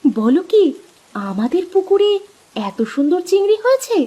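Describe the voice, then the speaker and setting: A woman voicing a caring, excitable village wife and daughter-in-law in a cartoon, with expressive, drawn-out phrasing. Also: very high voice. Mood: surprised, excited. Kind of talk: cartoon voice acting.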